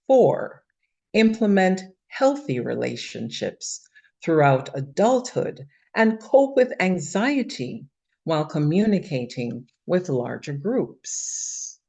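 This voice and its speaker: Woman cohost, low voice, talks slow and steady, goes point by point. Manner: measured, list-like delivery, reading from notes. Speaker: podcast co-host. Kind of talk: prepared talk-show discussion.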